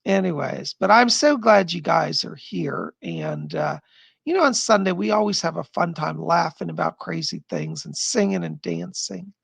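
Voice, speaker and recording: Gravelly, husky-voiced man chatting on stream. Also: fairly high pitch, huge pitch swings, moderate pace, fairly bright tone, no echo. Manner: chatty and rambling, laughing often. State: strained, losing his voice. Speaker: psychic livestream host. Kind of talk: casual live-stream chat reading viewer comments. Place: home.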